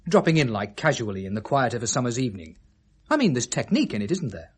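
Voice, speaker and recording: Wary, educated, husky-voiced British thirtysomething. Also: male voice, mid-range voice, huge pitch swings, fast talker. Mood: defensive. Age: 30s. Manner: articulate, guarded, dry. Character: educated, wary salesman in his early thirties under questioning. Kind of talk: 1970s British radio drama acting.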